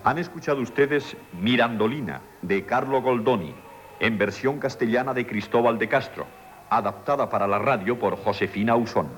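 A man's voice: mid-range voice, normal speed. A man with a Castilian Spanish accent reading credits formally as a radio announcer.

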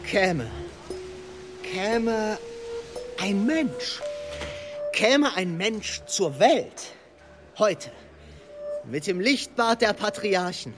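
A man playing a drunkard who declaims poetry in halting repetitions, in a radio play. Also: sits high, expressive, music in the background, full character voice. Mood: drunken, exalted. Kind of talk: theatrical recitation in a radio play.

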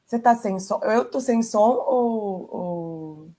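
A woman from Brazil presenting her network on a video call. She speaks slowly and carefully so that others can follow. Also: medium pitch, dark tone. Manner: deliberately slow and careful. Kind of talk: presenting in a virtual meeting.

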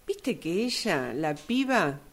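A woman's voice: fairly low pitch, sing-song, takes it slowly, exaggerated voice. An Argentine woman acting in a radio drama as a cocksure, insistent navigator in a car. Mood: breezy, insistent. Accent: Argentine, Rioplatense.

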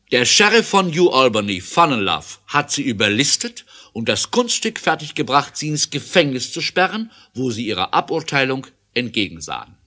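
A man's voice: medium pitch, sharp tone. A man narrating an adventure audio drama in an emphatic, theatrical way.

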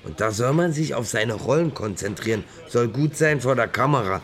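A man's voice: medium pitch, animated, moderate pace, a bit bright. A man in a radio play acting a casual, slightly weary film actor, grumbling in an offhand, colloquial way. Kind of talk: naturalistic German radio play dialogue.

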